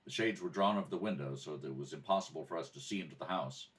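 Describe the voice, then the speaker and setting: A man playing a terse, businesslike, deadpan police detective in an amateur radio play. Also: low-pitched, fast, recorded from a distance, lightly acted. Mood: matter-of-fact. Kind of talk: amateur radio drama read-through with clipped narration.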